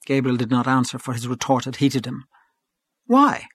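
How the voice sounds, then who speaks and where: A man reading literary fiction aloud as an audiobook narrator, in a measured, even storytelling manner. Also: medium pitch, slightly rough, no room sound.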